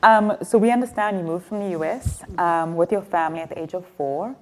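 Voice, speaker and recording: A woman's voice: fairly low pitch, a little fast, darkish tone. A woman who grew up in South Africa, hosting a podcast in a conversational interviewing style. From born in Zimbabwe, grew up in South Africa. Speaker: podcast host.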